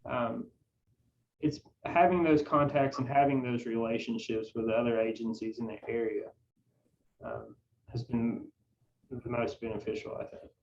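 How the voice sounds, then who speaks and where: A man's voice: medium pitch, steady tone, a little slow, a little mellow. A man on a panel from a small agency, giving plain advice that trails off at sentence ends, over a video call. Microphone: video call, away from the mic. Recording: distant dull call audio with faint noise.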